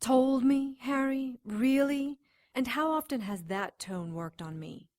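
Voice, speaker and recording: A woman's voice: high voice, slow pace, a little mellow. An actress playing a wry, persistent woman companion in an audio drama, with a sardonic, pressing manner. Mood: wry, indignant.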